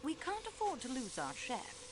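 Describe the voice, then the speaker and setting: Determined young woman sleuth in a game; courteous, businesslike, expressive intonation. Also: sits high, normal speed, background noise. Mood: brisk, determined. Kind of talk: video game character acting.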